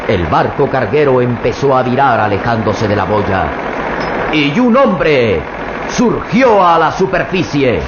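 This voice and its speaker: A man's voice: mid-range voice, big pitch swings, measured pace, echoey room, lo-fi sound, background noise. A man narrating an old radio drama in a dramatic, emphatic way.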